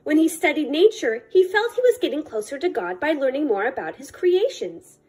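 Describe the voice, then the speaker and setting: A woman reading a children's picture book aloud as a librarian, in careful, deliberate short phrases. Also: sits high, very animated, a little mellow.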